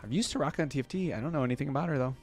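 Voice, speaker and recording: A man's voice: mid-range voice, rapid-fire, soft background music. Enthusiastic man doing casual reactions. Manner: enthusiastic, easily amused. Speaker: reaction video host.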